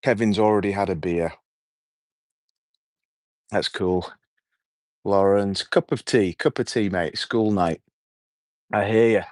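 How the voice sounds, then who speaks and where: Hoarse, low-voiced man chatting on a livestream. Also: takes it slowly, long pauses, no room sound, studio quality. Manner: relaxed, rambling, chatty with viewers. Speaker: livestream host. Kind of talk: casual evening livestream chat. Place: outdoors at night.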